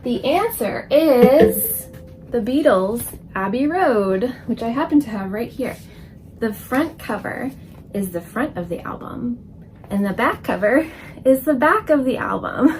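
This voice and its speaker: A woman's voice: fairly high pitch, expressive, measured pace, slight background noise, low-quality recording. A woman, chatting casually to camera as a children's illustrator. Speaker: children's book illustrator and author. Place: indoor room full of books.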